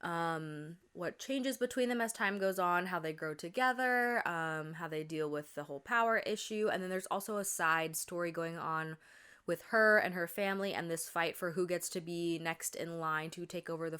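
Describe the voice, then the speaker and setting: A young woman chats through her podcast in a rambling, friendly way, full of fillers and restarts. Her voice sits a little low and comes across smooth and clear, though a touch congested and tired. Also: moderate pace, pushed and bright. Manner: chatty, rambling, many fillers and self-corrections. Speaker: podcast host. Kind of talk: casual chat.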